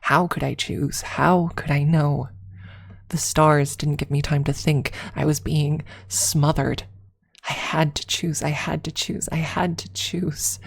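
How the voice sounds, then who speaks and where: A woman reading a first-person story aloud as ASMR, softly and deliberately, with dramatic pauses and some near-whispered phrasing. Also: low voice, steady tone, gravelly, slightly rough.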